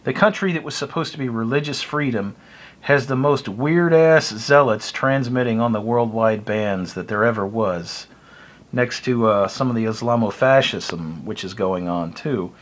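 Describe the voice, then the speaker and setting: A middle-aged man with an American accent speaks in a mid-pitched voice, close to the device in a small room. He delivers a casual, opinionated monologue. His manner is blunt and wry. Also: normal speed. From United States. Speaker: hobbyist video blogger. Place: small indoor room by a window. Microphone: phone or webcam close to the face.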